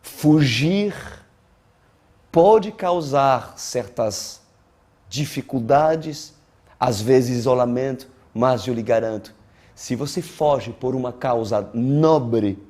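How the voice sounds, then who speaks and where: Slow, mellow male preacher. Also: mid-range voice. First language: not Portuguese; learned Portuguese as an adult. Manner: deliberate, emphatic, with dramatic pauses and repeated phrases. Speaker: pastor preaching. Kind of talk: sermon.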